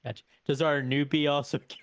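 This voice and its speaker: A man's voice: fairly high pitch, level tone, fairly quick. A man playing a tabletop role-playing game, talking casually at the table.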